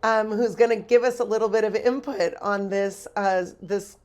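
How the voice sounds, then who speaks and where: A woman from California with a slightly Midwestern American accent, chatting and telling stories as a podcast co-host in a teasing, animated way. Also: medium pitch, moderate pace. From California, United States. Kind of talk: casual chatty podcast talk with anecdotes.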